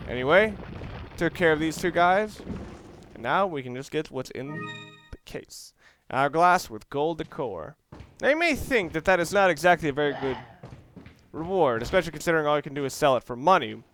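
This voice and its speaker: A man with a fairly high voice talks through a video game in a casual let's play style. He explains mechanics analytically and corrects his own wording along the way. The recording carries clear background noise. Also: animated, moderate pace, fairly bright tone. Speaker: gameplay commentator.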